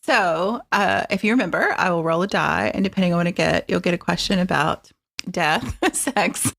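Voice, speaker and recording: A woman's voice: fairly low pitch, lively intonation, moderate pace, vocal fry, fairly bright tone. A woman hosting a podcast interview, friendly and encouraging, with lots of short affirmations. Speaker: podcast host. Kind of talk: casual interview chat.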